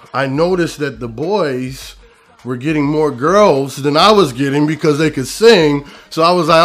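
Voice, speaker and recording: A man's voice: a little high, fairly bright tone. A middle-aged American man telling anecdotes about his own life in a casual interview. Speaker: singer and personal trainer.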